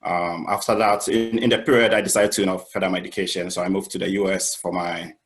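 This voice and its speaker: Low-voiced man from Ghana, chemist, halting panel intro. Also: flat delivery, slight background noise. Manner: halting, many "um" and "you know". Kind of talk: self-introduction on an online panel.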